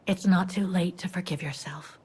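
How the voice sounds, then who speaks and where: An actress playing a gentle, inquisitive woman in a story game, with a soft, husky voice. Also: on the low side, moderate pace. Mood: encouraging. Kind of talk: dramatic game dialogue.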